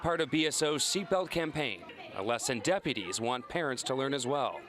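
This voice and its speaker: A man's voice: mid-range voice, lively intonation, normal speed, over a noisy crowd, heavy background noise. A man narrating a scripted investigative news report as a television reporter.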